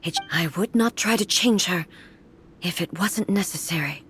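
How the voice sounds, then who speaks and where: An actress playing a stern, regal female warrior goddess who speaks in formal, solemn, measured pronouncements in a game cutscene. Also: on the low side, a bit bright, slight background noise, natural voice. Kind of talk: video game cutscene dialogue.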